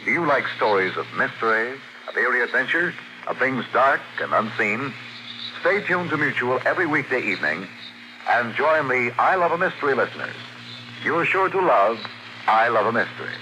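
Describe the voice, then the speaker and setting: An American man announcing in scripted 1940s radio style, formal, measured and emphatic. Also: medium pitch, big pitch swings, a little hiss or hum, rough recording. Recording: old radio broadcast recording. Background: loud dramatic music.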